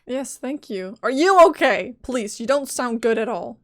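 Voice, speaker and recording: A woman's voice: on the high side, expressive, measured pace, dry recording. A woman giving casual live gameplay commentary, reacting and talking back to the game's characters. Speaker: game streamer. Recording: game music behind.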